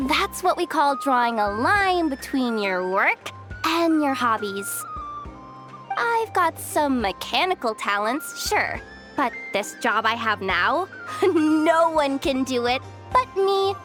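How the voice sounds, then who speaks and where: An excitable young working girl for a video game. She has a very high, swooping voice and gushes breezily with small stammers, though her words come out unhurried. Music is clearly audible behind her. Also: full character voice. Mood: exhilarated. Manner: gushing, breezy, slightly stammering.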